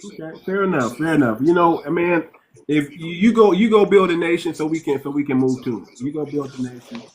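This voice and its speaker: A man hosting a call-in show, chatting casually with callers and repeating short affirmations. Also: fairly high pitch. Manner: repeats "okay" and "man", quick interjections.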